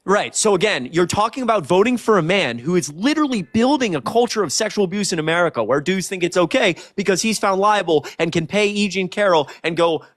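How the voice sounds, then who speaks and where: Young guy arguing on a livestream, bit high pitched, sweary and emphatic, dry sound. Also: lively intonation, brisk, hardly any pauses, a bit bright, no echo. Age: early 20s. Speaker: debater on a live stream. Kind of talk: heated live debate.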